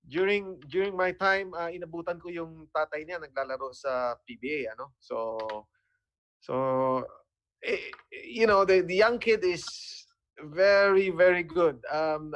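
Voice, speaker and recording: Male co-host, Filipino accent, slightly high pitch, casual measured chat, very dry sound. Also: a bit bright, no room sound, muffled recording. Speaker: radio co-host. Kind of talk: casual bilingual talk-show chat.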